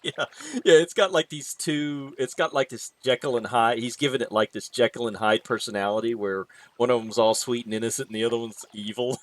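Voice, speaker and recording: A man chatting on a gaming stream, hesitant and halting, with many pauses and uh's. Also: mid-range voice, dry recording. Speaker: streamer co-host. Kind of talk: casual gaming stream chat.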